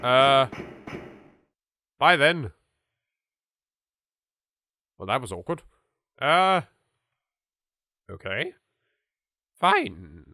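Animated English man with a bright voice. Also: medium pitch, drawn-out words, pauses often, dry recording, studio quality. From England. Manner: animated, reactive, politely chatty. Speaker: let's play host. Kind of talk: casual gameplay commentary. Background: game music.

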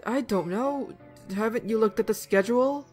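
A woman's voice: fairly high pitch, normal speed, a little mellow, soft background music, close to an everyday voice. A woman playing a breezy, flippant young shop co-worker in an audio drama. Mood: casual, puzzled.

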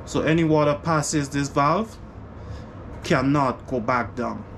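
A man narrating a home repair vlog in a basement, explaining each step in a relaxed, casual way. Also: mid-range voice, takes it slowly, noisy background. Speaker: home renovation vlogger. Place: unfinished basement indoors.

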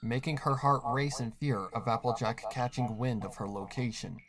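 A man's voice: medium pitch, normal speed, heavy background noise. A man reading a scripted horror story as a dramatic narrator. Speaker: narrator of a horror fan fiction. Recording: music behind the narration. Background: music.

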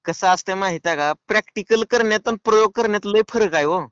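A man's voice: high voice, fast, a bit bright, clear, resonant tone, no echo, lo-fi sound. A man with a rural Maharashtrian accent making a comic prank call, cheeky, pleading and persistent. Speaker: prank caller.

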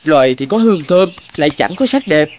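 Vain high-voiced butterfly bachelor, acted by a man. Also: fairly quick, muffled recording, a little hiss or hum, lightly acted. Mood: flirtatious. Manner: boastful, pompous, theatrical flattery and complaint. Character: vain, picky male butterfly bachelor seeking a flower bride. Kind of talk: cartoon character acting.

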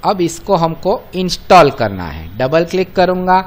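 An Indian man with a fairly high voice walks viewers through software on screen. He explains each step informally, in a friendly form of address, and drops English technical terms into his speech. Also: sing-song, unhurried. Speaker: software tutorial presenter.

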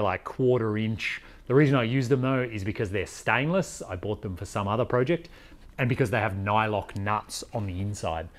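A man in his forties talking casually to camera like a DIY vlogger, thinking aloud as he explains things in a conversational way. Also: on the low side. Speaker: vlogger presenting a DIY vehicle install.

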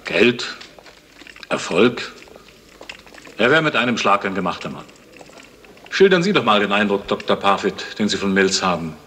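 Frank professional, lowish sharp voice, matter-of-fact, vintage radio play. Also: male voice, animated, normal speed, pauses often, slight background noise. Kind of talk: 1970s German radio crime drama acting.

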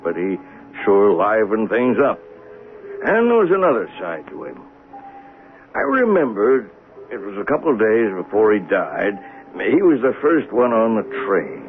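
An actor playing an earnest train conductor in a 1970s radio mystery, telling his story reflectively and questioning pointedly. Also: fairly low pitch. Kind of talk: 1970s radio drama acting, narration and dialogue. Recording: noisy, muffled phone-like 1970s radio broadcast.

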